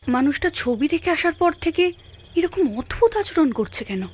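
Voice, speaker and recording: A woman performs an anxious cartoon village wife. Her voice is extremely high and shrill, swinging excitably in pitch, with faint music and crowd-like noise behind. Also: normal speed. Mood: puzzled. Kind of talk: animated horror-story acting.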